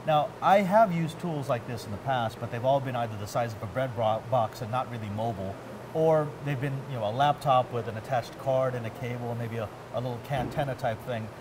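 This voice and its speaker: A man's voice: medium pitch, a little fast. Casual geeky male host, noisy space. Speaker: host interviewing at a tech trade event. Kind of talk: conversational product interview. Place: large indoor warehouse staging space. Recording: clear background noise of a warehouse.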